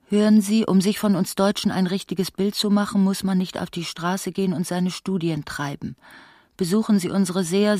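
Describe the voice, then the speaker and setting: A woman narrating a literary radio play in a measured, articulate way, voicing its long speeches. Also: on the low side, a little mellow, no echo, studio quality.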